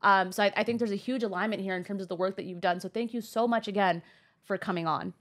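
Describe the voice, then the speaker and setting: A young woman hosting a casual interview show, talking fast and earnestly, her voice stuffy and nasal from a sinus infection. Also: medium pitch, fairly even intonation, fairly bright tone. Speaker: talk show host.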